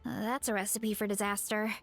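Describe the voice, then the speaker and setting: A woman's voice: mid-range voice, little pitch movement, brisk, vocal fry, natural voice. A woman voicing an easygoing young farm girl adventurer in a video game, casual and thinking aloud. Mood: wondering. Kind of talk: scripted video game voice acting. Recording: music behind.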